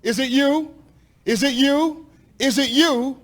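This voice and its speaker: A man with a high-pitched voice delivers an impassioned public address. He is emphatic and deliberate, pressing his points like a confrontational pastor addressing officials at a meeting. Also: fairly bright tone. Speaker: pastor speaking at school board meeting.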